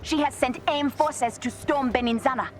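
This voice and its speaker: An actress playing a passionate, headstrong young princess and scientist with an African accent, speaking urgently in a dramatic video game. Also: female voice, high-pitched, moderate pace, close to an everyday voice. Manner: earnest, urgent. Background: faint game music.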